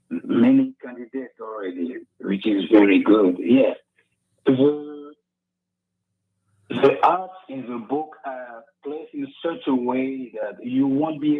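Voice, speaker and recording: Author guest, man, French-speaking West African accent, halting, on a tinny phone line. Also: mid-range voice. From Ivory Coast. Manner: halting, searching for words with many fillers. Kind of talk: radio interview by phone. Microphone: headphone mic over a phone line.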